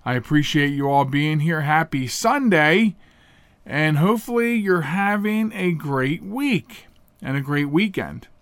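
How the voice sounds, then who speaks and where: A man's voice: on the high side, animated, a little slow, no room sound. A man hosting his radio talk show alone, a little nasal and stuffed up from pollen, chatting in a rambling way with fillers and restarts. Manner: rambling, chatty, with self-corrections and filler words. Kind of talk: casual solo radio monologue.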